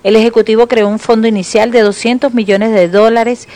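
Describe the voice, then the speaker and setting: A woman's voice: a little high, fairly even intonation, a little fast, dark tone. A woman reading scripted narration as a television news reporter. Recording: faint background noise.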